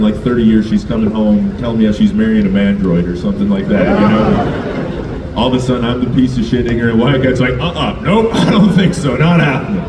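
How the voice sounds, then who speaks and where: A man in his thirties from Alberta doing a self-mocking stand-up set, with a deep voice. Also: distant mic, background noise. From Canada, Alberta. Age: 30s. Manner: self-mocking, riffing with the crowd. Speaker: stand-up comedian. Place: comedy club stage. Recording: roomy live club audio from afar.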